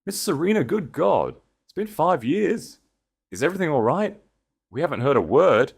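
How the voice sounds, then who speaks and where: A man voicing a disciplined, loyal British head of security in an audiobook, crisp and deferential. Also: a little high, very animated, normal speed, voice pushed up, heavily acted. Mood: astonished.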